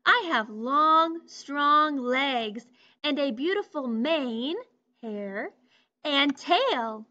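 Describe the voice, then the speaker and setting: A woman reading aloud as a proud, fast farm horse, with a high whinny. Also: lively intonation, sharp tone, exaggerated voice. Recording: muffled lo-fi recording.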